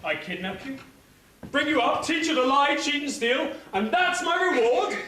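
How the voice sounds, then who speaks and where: A man's voice: extremely high pitch, expressive, normal speed, crowd noise. A man playing a scheming, pompous baron in a stage pantomime, wisecracking theatrically. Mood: scornful. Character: scheming, blustering villainous male baron of pantomime. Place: amateur stage show in a hall. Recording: echoey hall from far off, noisy.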